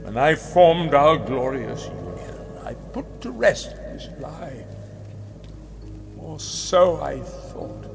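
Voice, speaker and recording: An actor playing a proud, menacing rabbit ruler in a fantasy game, speaking in pompous, theatrical oratory. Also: male voice, on the high side, expressive, slow, creaky, full character voice. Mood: solemn. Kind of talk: dramatic fantasy game acting. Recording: long hall reverb, music underneath. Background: music.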